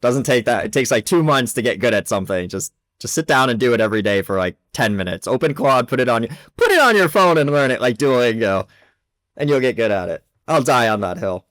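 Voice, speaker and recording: A man speaking as a podcast guest in casual chat, blunt and animated, with lots of filler words. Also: mid-range voice, fairly quick, no echo, studio quality. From not from the Czech Republic. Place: lives in Prague.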